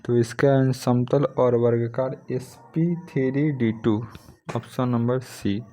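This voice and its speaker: A man with a medium-pitched voice teaches for a competitive exam. He reads each practice question aloud in a methodical way. Then he states the correct option and moves on. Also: little pitch movement, takes it slowly, dark tone. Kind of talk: reading practice questions and giving answers.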